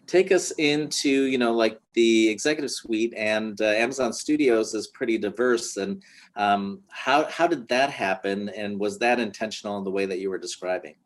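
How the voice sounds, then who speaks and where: A man's voice: lowish voice, normal speed. A middle-aged man from the American Midwest, interviewing a guest in a friendly, easygoing way with fillers. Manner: friendly, laughs easily, fillers. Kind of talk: interview conversation.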